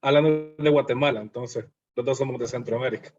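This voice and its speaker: A man from El Salvador describes his research plan to his class in a measured way, often tagging his sentences with questions. He speaks from a video call, and the sound comes through dull and low-fidelity. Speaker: doctoral student.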